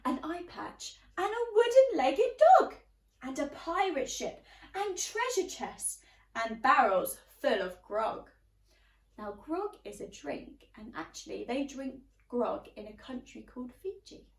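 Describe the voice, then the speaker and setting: A woman's voice: high voice, big pitch swings, a little slow. A woman teacher reading a children's storybook aloud, expressively addressing young listeners. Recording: roomy, slightly distant microphone.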